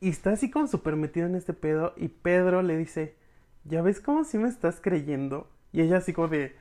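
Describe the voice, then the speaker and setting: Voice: medium pitch, fairly quick. Slangy Mexican podcast recapper. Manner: slangy, expressive, acts out dialogue casually. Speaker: podcast reviewer recapping episodes for followers. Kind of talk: informal storytelling recap, addressing the audience as friends.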